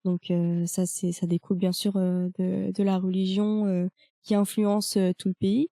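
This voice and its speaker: A woman's voice: mid-range voice, level tone, a little slow, dark tone, dry recording, studio quality. A young woman from France with a French accent, a guest talking casually with many hesitations and fillers. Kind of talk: casual conversation.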